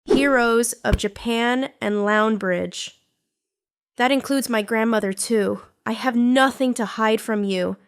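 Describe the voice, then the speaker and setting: A woman's voice: on the high side, takes it slowly, smooth, no room sound. A woman voicing a bitter young female villain recruit, narrating her painful past in a scripted comic dub. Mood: resolute. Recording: music under the voice. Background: background music.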